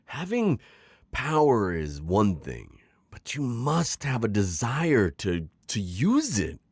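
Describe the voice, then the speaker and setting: Man acting a low, coaxing villain mentor. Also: huge pitch swings, a little mellow, no echo, heavily acted. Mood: persuasive, teasing. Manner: coaxing, smooth-talking, chuckling, drawn-out teasing phrasing. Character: suave, manipulative male magical villain mentor. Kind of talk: ASMR roleplay acting, intimate one-sided dialogue.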